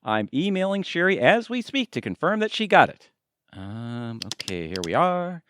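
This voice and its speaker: Man playing a lively, higher-voiced flustered suburban husband. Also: normal speed, clear, resonant tone, no echo, studio quality. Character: good-humored middle-aged suburban husband, easily flustered. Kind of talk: audio theatre comedy acting.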